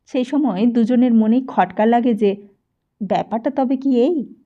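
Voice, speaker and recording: A woman's voice: on the high side, a little slow, dark tone, dull audio. A woman reading a literary short story aloud as scripted audiobook narration.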